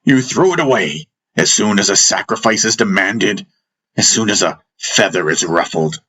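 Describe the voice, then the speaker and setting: A man's voice: lowish voice, lively intonation, normal speed, sharp tone. A man voicing a stern, scornful spiritual master who rebukes rulers in a declamatory, reproachful manner for an audiobook.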